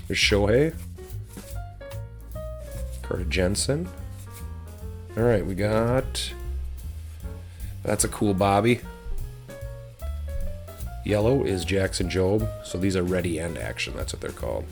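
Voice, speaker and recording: A man with a slightly hoarse voice casually chatting as he opens card packs on his channel, relaxed and rambling with frequent ums. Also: low-pitched, slow pace, pauses often, faint music behind. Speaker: host of a card-opening channel. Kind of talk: casual chat while opening card packs.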